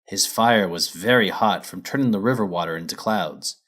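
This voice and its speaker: A man's voice: low voice, normal speed, a bit bright. A man reading a children's story as its narrator, in a scripted storybook style.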